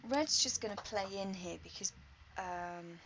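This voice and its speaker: A woman's voice: lowish voice, unhurried, fairly bright tone. A woman giving chatty, informal live sports commentary with colloquial exclamations. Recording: dull lo-fi stream with background noise.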